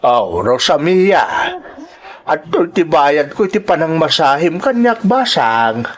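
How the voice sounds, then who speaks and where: A man playing a kindly, grateful older village client who talks slowly and amiably in an audio drama. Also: fairly high pitch, sing-song, heavily acted.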